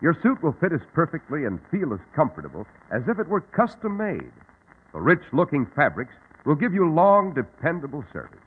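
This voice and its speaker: A man's voice: fairly high pitch, wildly swooping pitch, normal speed, slightly rough, phone-quality sound. A man with an American accent announcing a 1940s radio show in a formal, polished salesman's delivery on an old recording.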